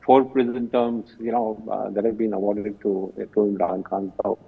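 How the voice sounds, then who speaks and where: An older man with an Indian accent giving analysis as an interview guest, speaking in halting, hesitant phrases over a remote call. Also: on the low side, level tone, creaky, breathy edge. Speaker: retired general, guest analyst. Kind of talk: interview commentary. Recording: muffled phone-like remote call, distant.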